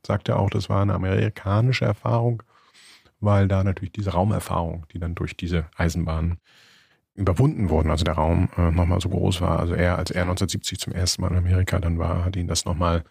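A man co-hosting a book podcast, talking in a thoughtful, halting way with many fillers and restarts. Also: low voice, little pitch movement, creaky, a little mellow, husky, dry recording, clean studio sound. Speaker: podcast co-host, book critic. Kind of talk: casual book discussion.

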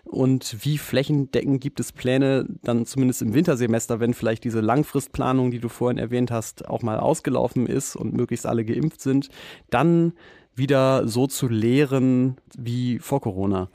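Male news podcast presenter, calm and measured, with a warm, close, dry tone. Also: medium pitch, dry recording. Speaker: podcast host.

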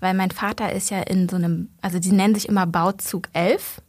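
A woman in her thirties, a podcast guest, telling lively stories in a casual chat and laughing often. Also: mid-range voice, fairly quick, slightly rough, no room sound, studio quality. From Germany, Berlin.

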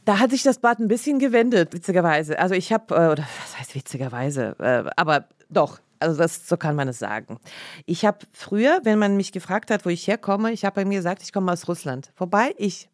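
A woman's voice: lowish voice, brisk, dry recording. A woman in her forties, born in Leningrad with Russian as her first language, telling her story earnestly as a podcast guest in a studio. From Leningrad, Soviet Union. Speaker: interview guest, journalist. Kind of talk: podcast interview.

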